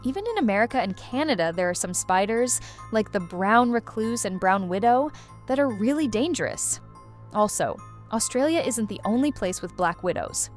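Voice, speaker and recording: A woman's voice: on the high side, sing-song, moderate pace, faint music behind. A woman hosting a video with casual, playful, exaggerated commentary for young viewers. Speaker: host of a reaction video channel.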